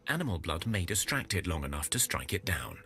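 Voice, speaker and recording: A man reading out dark folklore event text from a game with dramatic storytelling delivery. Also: low-pitched, big pitch swings, brisk. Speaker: game narration reader.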